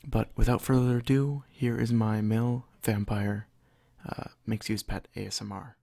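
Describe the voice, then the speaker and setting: A man's voice: fairly low pitch, steady tone, moderate pace, creaky, dark tone, dry recording. A young man chatting casually and unscripted to his viewers, in a hesitant, apologetic, rambling way. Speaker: ASMR video creator introducing a roleplay.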